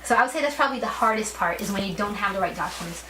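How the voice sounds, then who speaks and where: A young woman, born in Brazil, answers interview questions in a casual, thoughtful way, leaning on "like" and "you know." Her voice sits mid-range. The recording sounds roomy, with a clear layer of background noise. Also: medium pitch, lively intonation, very fast. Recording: echoey room with background noise.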